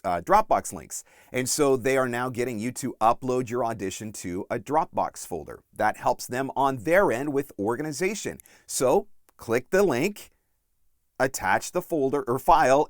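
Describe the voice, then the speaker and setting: A man in his forties giving instructional talk as a coach and host, deliberate and emphatic on key points. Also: mid-range voice, dry recording, clean studio sound. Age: 40s. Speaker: voice-over coach and channel host.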